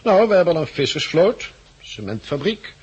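Courteous, husky-voiced male helicopter pilot. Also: on the high side, big pitch swings, normal speed, heavily acted. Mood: informative, confident. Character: friendly helicopter pilot recruiting survivors for an island colony. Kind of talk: 1960s Dutch radio drama acting. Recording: muffled 1960s radio drama recording.

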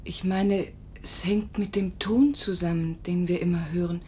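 An actress as a devoted, soft-spoken young carer. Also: female voice, on the low side, steady tone, measured pace, slight background noise, close to an everyday voice. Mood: hushed, soothing. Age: young adult. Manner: soft, breathy, pleading, deferential. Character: devoted, ingratiating 25-year-old female paid carer. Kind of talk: German radio drama acting.

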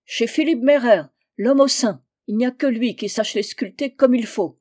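A woman's voice: fairly high pitch, moderate pace, a little mellow, lightly acted. Narrator as a feisty, emphatic village woman. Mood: bossy, bargaining. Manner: exclaiming, scolding, emphatic. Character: devout, feisty village woman, indignant, bossy, scolding.

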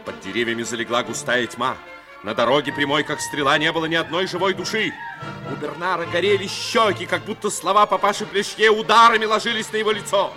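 An actor narrating a 1950s radio drama in a measured, literary and emotive manner. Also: male voice, on the high side, lightly acted. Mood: solemn, dramatic. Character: solemn male storyteller of a radio drama. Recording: vintage radio drama over music.

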